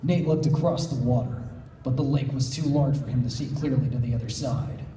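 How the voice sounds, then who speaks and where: A man's voice: medium pitch, a little fast, mellow. A man reading fan fiction live as a panel host, with playful asides and comic banter. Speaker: panel host and story reader. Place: convention panel room. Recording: echoey room, distant mic.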